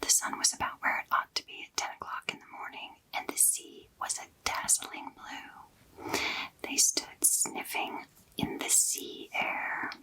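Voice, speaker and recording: A woman's voice: unhurried, rough recording. Woman whispering a bedtime story. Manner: soft unvoiced whisper throughout. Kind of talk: whispered ASMR story reading.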